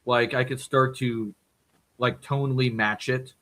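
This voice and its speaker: Podcast guest, male, bright forceful tone, chatty and quick to laugh. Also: mid-range voice, steady tone. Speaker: guest voice actor. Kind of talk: casual podcast chat. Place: home streaming setup.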